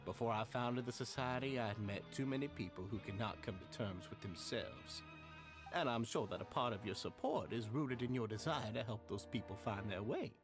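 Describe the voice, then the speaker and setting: A man's voice: mid-range voice, lively intonation, moderate pace, music in the background, lightly acted. A man voicing an eager, deferential society leader. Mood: earnest. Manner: polite, eager, a bit nervous.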